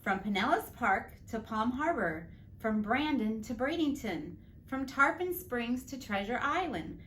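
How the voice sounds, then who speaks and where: A woman's voice: on the high side, slight background noise. A woman reading a children's story aloud as narrator. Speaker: storybook reader.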